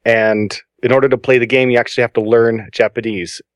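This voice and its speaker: A man's voice: medium pitch, level tone, brisk, no room sound. A man on a podcast panel, chatting with enthusiasm as he shares his picks. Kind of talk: casual podcast chat.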